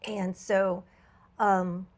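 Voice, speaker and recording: A woman hosting a podcast, her voice a little raspy from travel, talking in an earnest, reflective way with frequent fillers and restarts. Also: mid-range voice, flat delivery, very slow. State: slightly raspy voice from travel fatigue. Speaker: podcast host. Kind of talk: candid podcast conversation.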